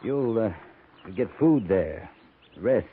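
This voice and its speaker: An actor playing a dutiful, restrained cavalry captain, measured and courteous, in a 1950s radio western. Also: male voice, lowish voice, expressive, husky, exaggerated voice. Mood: restrained, earnest. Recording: tinny, noisy 1950s radio recording.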